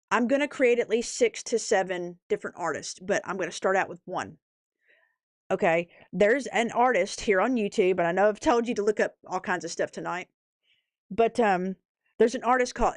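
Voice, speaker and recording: A woman's voice: medium pitch, dry recording, studio quality. A woman hosting a livestream, chatting casually and rambling to viewers, emphatic and often checking in with short tag questions. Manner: emphatic, often tags points with "okay?" and "right?". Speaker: YouTube livestream host.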